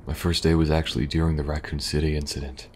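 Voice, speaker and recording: Man acting weary young agent, extremely low voice, soft-spoken, halting, dry and kind, ASMR roleplay, some background noise. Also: level tone. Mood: weary, reminiscing. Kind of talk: intimate ASMR audio roleplay.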